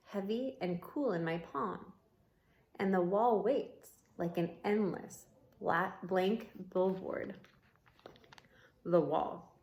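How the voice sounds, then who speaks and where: A woman reading a storybook aloud like a teacher, expressive and adding comments for young listeners. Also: mid-range voice. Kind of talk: read-aloud storybook narration with asides. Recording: roomy, echoing room sound.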